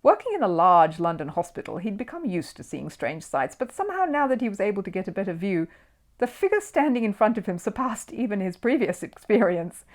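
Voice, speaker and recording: Animated woman reading a children's story. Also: medium pitch, a little fast. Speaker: storybook reader. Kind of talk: expressive scripted children's story narration.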